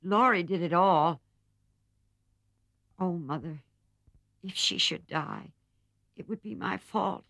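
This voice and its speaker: A woman's voice: on the low side, slow, gravelly, pauses often, fairly bright tone, dry recording, pitched above the performer's own voice, exaggerated voice. A woman voicing a hot-tempered, passionate teenage girl in a storybook, with emphatic, dramatic delivery. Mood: anguished.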